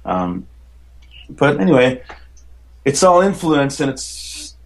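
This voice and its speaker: A man with a baritone voice, a musician chatting as a podcast guest, rambling enthusiastically with many fillers and restarts. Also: sing-song, takes it slowly, poor audio. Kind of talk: casual interview chat.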